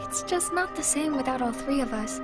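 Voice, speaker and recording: A woman voicing a soft-spoken, caring, anxious teenage girl in a video game cutscene, speaking gently and earnestly. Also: high voice, moderate pace, loud music behind the voice, close to an everyday voice. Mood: earnest, unsure.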